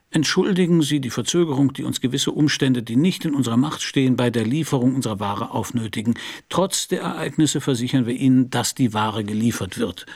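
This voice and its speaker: An actor playing a battered middle-aged private detective, wry, laconic and sardonic, in a noir radio play. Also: male voice, mid-range voice. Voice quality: husky, gravelly. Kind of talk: noir radio drama acting and first-person narration.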